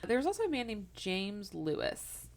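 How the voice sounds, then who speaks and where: A woman's voice: mid-range voice, huge pitch swings, moderate pace, creaky. A woman in her thirties telling a true-crime story to her co-host in casual podcast chat, laughing often and wandering into asides. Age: 30s.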